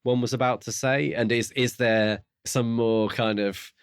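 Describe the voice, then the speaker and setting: A man's voice: medium pitch, fairly even intonation, measured pace, clean tone, no room sound, clean studio sound. A man co-hosting a podcast, casually discussing a book and theorising aloud in a tentative, musing way. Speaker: podcast co-host.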